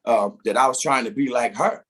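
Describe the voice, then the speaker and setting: A middle-aged man with a husky, slightly breathy voice speaks at an ordinary pitch. He tells his story reflectively in a relaxed interview, laughing here and there and repeating words as he gets going. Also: brisk. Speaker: singer and interview guest. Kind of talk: casual conversational interview.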